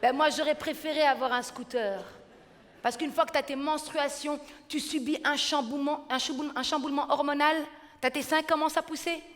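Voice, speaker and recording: A woman in her thirties from Belgium doing stand-up comedy on a theater stage, punchy and energetic, acting out family members. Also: high-pitched, fairly quick, long reverb tail.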